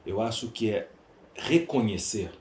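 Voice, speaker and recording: A man's voice: lowish voice, expressive, unhurried, a little mellow. Brazilian guy on a podcast, emphatic, repeats himself for stress, echoey room w some noise. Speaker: podcast guest, entrepreneur. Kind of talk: conversational interview answers. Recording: roomy echo, clear background noise.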